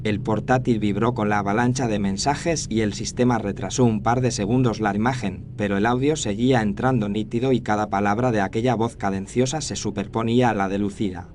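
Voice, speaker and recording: A man narrates a scripted horror tale in the first person. His voice is on the low side, with faint noise and ambience behind it. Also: steady tone, a little fast, hardly any pauses.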